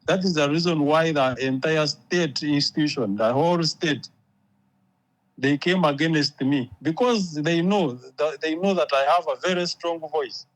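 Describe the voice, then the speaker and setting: A man's voice: a little high, measured pace, low-quality recording. A man from Uganda, an exiled writer, speaking emphatically as an interview guest and repeating words for force.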